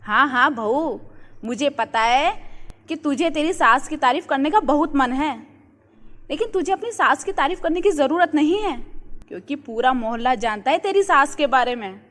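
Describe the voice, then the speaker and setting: In a long, echoing reverb, a high-voiced neighbourhood housewife from a cartoon moral tale. She is gossipy and effusive, laying on the flattery. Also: normal speed. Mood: gushing praise. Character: gushing, flattering neighbourhood housewife, friend of the mother-in-law. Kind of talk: Hindi moral-story cartoon acting.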